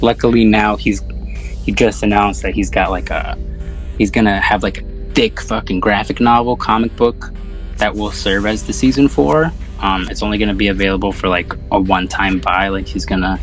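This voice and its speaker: A man's voice: lowish voice, fairly even intonation, normal speed, faint music behind, poor audio. A man chatting casually about films as a podcast host, with a slangy, sweary, rambling way of talking. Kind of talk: casual film discussion chat.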